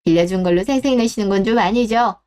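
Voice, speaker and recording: A woman's voice: medium pitch, fast talker, darkish tone, smooth, pitched below the performer's own voice, natural voice. A woman voicing a brazen, sarcastic daughter-in-law in a confrontational scripted family drama story. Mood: scornful, irritated. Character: brazen, scornful daughter-in-law, sharp-tongued mother.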